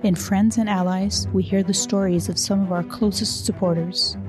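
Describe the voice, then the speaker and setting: A woman reading a formal, measured scripted narration. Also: fairly even intonation. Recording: narration over loud cello and bass music.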